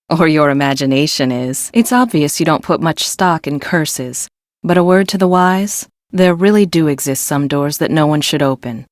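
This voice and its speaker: A confident, quirky woman engineer for an adventure game. Her voice sits low and is recorded close and dry. She speaks articulately, with a wry, matter-of-fact edge and a new-age streak. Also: darkish tone, no echo. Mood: wry, knowing. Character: self-assured, quirky new-age woman engineer, park maintenance supervisor. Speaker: video game voice actor. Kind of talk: scripted adventure game dialogue.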